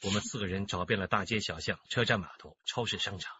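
Anxious, husky-voiced grown son. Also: male voice, lowish voice, quick pace, sharp tone, dry recording, dull audio, heavily acted. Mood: weary, earnest. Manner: hesitant, deferential. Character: anxious, timid grown son reporting to mother. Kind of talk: radio drama acting.

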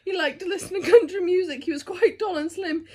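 A woman's voice: very high-pitched, fairly quick, a little mellow. A young woman reading a children's picture book aloud, giggly and struggling to keep a straight face. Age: young adult. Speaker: storybook reader. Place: living room sofa, indoors.

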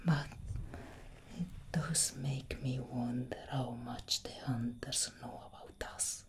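A woman's voice: a bass voice, steady tone. Whispering elder vampire sister, played by a woman. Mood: solemn, guarded. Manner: breathy, slow, intimate, dramatic. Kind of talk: soft-spoken whispered ASMR roleplay.

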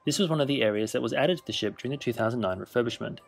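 Gravelly-voiced man narrating a ship tour. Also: mid-range voice, soft background music. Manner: measured, informative, list-style delivery. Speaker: cruise ship reviewer. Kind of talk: scripted voice-over narration.

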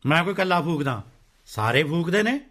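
Stubborn farmer, high bright voice, Punjabi accent, defiant grumbling, sarcastic, radio drama. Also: male voice, expressive, fairly quick. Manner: argumentative, sarcastic, dismissive. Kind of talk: radio play acting.

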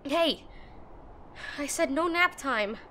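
Woman acting a bossy scared tsundere gf in audio roleplay, high pitched, slow halting lines, faint storm noise behind her. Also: expressive, sharp tone, exaggerated voice. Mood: urgent, pleading. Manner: bossy, urgent, emotional, halting. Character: tsundere young woman, brusque but frightened, protective girlfriend. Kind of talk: roleplay audio drama, spoken to listener.

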